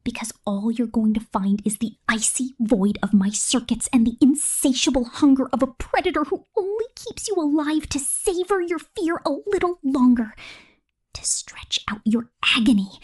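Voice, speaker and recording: A woman voicing a sadistic, possessive killer robot in an ASMR roleplay, breathy and often whispering, with a menacing, taunting, drawn-out delivery. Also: sits high, darkish tone, natural voice. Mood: quietly menacing. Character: sadistic, possessive female killer robot drone toying with prey.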